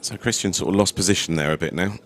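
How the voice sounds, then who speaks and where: A man's voice: sits low, fast, a bit bright. A man giving casual, chatty commentary on a pool match, talking through the shots. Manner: chatty, analytical about shots. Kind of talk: casual live-stream commentary.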